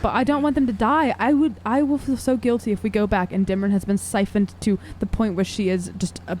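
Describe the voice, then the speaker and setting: Earnest woman with a mellow voice. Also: medium pitch, steady tone, brisk, a little hiss or hum. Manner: earnest, emphatic. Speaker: tabletop game player on a podcast. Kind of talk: casual in-character discussion.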